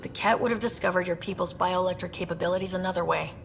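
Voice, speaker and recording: A woman's voice: lowish voice, fairly even intonation, fast, creaky, slight background noise. A woman playing a plucky, sardonic young human explorer in a video game, casual, dry and confident in delivery. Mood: earnest. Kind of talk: naturalistic video game acting.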